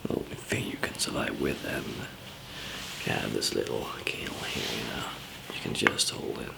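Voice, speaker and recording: A man softly whispering and murmuring in an ASMR roleplay, explaining things gently and haltingly as a survivor. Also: rough recording. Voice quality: soft whispering mixed with low murmur, gravelly. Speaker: ASMR roleplayer playing a survivor camp member handing out supplies.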